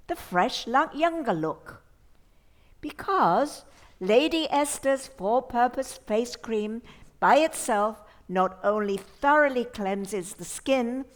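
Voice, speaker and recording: A woman playing an earnest, reassuring beauty authority, reading an old-time radio advertisement in a measured, persuasive way. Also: a little high.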